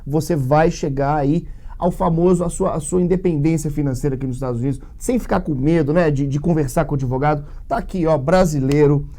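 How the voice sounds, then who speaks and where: A man's voice: on the high side, brisk, few pauses, warm tone. A Brazilian man hosting a casual live interview, talking in an informal, effusive way. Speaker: live show host.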